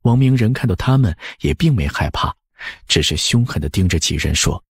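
A man narrates an audiobook in a lowish, husky voice. His delivery is scripted and dramatic, with lively swings in pitch. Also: normal speed, no room sound, clean studio sound.

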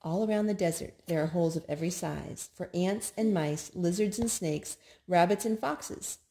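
A woman reading children's picture books aloud in an expressive, measured storytime style. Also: lowish voice. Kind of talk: read-aloud storytime narration. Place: indoors at home.

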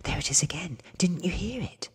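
A man voicing an ancient, watchful vampire woman in a soft, breathy, alert hush for an ASMR storybook reading. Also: high voice, expressive, moderate pace, voice pushed up, full character voice. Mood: alert, urgent.

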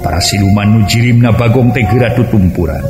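A male storyteller with a Sundanese accent and a fairly low voice narrates a dramatic folk tale. His voice carries a strong echo, and music plays behind him. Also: a little fast. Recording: echoey voice over music.

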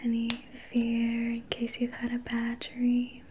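A woman whispering softly and breathily as an ASMR host, guiding relaxation in a soothing, gentle, unhurried manner. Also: a little high, completely flat delivery, a little hiss or hum. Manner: soothing, slow, gentle personal attention. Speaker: ASMR host and reiki practitioner.

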